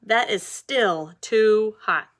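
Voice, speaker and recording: A woman voicing a stubborn, huffy, childlike male pigeon in a picture-book read-aloud, with drawn-out, melodramatic delivery. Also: medium pitch, pitched above the performer's own voice. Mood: fussy complaining.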